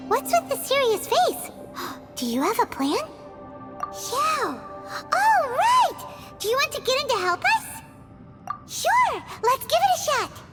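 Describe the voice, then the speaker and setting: An extremely high, childlike fairy sidekick from a video game. She is squeaky, excitable and chirpy, and speaks slowly with wildly swinging pitch over loud music. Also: exaggerated voice. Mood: eager. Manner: speaks of herself in third person, exclamatory.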